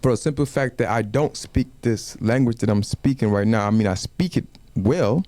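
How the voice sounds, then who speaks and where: A man whose first language is Wolof, talking in a casual studio panel in a measured way and asking rhetorical questions. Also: medium pitch, a little mellow. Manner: measured, asks rhetorical questions, says "you know what I'm saying". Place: community media studio.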